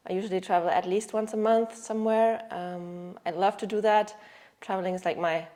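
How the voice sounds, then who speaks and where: A woman in her thirties from Germany, a yoga teacher speaking in a casual interview and pausing to search for words. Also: medium pitch, fairly even intonation, mellow. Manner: thoughtful, searching for words with restarts.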